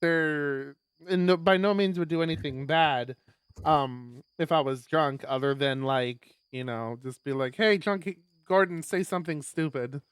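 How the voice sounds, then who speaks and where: A streamer with an animated, rambling, sweary way of talking, chatting casually and narrating a visual novel live. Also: mid-range voice, measured pace, clear, resonant tone, no echo.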